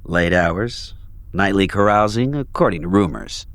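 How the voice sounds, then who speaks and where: A man playing a smug, smooth-talking corporate executive in an audio drama, formal and self-assured. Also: low voice, a little slow, a bit bright, no echo. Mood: smug, pointed.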